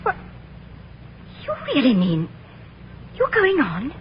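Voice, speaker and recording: An actress playing a distraught young secretary and devoted wife in a 1950s radio drama, agitated, breathless and pleading. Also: female voice, sits high, huge pitch swings, slow pace, heavily acted. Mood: anxious. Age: young adult. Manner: breathless, agitated, swooping emotional delivery. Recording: dull, hissy old 1950s radio recording.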